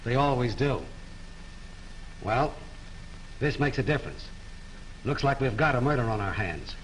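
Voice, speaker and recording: An actor in a 1930s film playing a blunt, gruff police lieutenant questioning people on a film set. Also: male voice, mid-range voice, lively intonation, unhurried, slight background noise, close to an everyday voice, poor audio.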